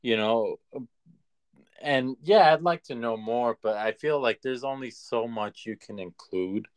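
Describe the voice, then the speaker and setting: A man co-hosting a podcast, chatting casually about movies in a measured, halting, conversational way. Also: mid-range voice, clean tone. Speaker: podcast co-host.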